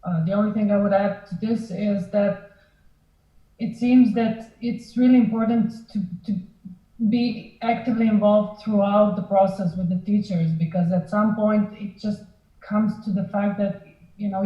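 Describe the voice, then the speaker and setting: A woman's voice: medium pitch, steady tone, normal speed. A woman giving unscripted, hesitant remarks as a panelist, searching for words as she goes. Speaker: film education panelist. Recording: echoey room, dull band-limited sound.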